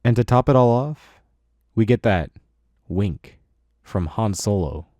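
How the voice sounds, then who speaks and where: A man's voice: lowish voice, lively intonation, takes it slowly, very noisy. A man hosting a scripted countdown video, commenting in a mock-earnest way with exaggerated praise. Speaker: video host. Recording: music under the voice. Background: music.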